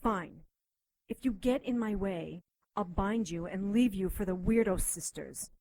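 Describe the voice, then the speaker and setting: An actress playing a tough, sardonic occultist doctor in an audio drama, commanding and quick to threaten. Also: female voice, mid-range voice, dark tone, no echo, poor audio.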